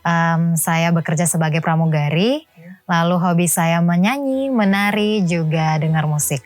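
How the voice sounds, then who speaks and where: A woman in her twenties giving a measured self-introduction as a dating show contestant. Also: fairly low pitch. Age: 20s. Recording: music playing behind the voice. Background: music.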